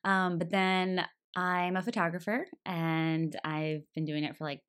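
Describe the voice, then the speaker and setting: A woman's voice: on the low side, flat delivery, a little slow, a bit bright, smooth. A woman in her thirties chatting casually as a podcast guest, giggly and full of fillers. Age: 30s. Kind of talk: casual chat.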